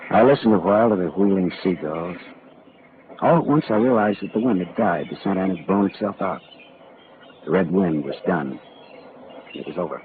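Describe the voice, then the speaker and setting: A man's voice: deep voice, a little fast, pauses often, a little hiss or hum, lightly acted. An actor playing a hard-boiled male private detective, narrating in a dry, laconic 1940s radio drama style. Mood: wry. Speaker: lead actor. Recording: tinny old radio broadcast with loud music.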